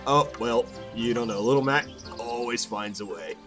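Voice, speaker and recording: A man's voice: medium pitch, normal speed. A man hosting a let's play, thinking aloud in a casual, self-deprecating way. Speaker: gaming video host. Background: game music and effects.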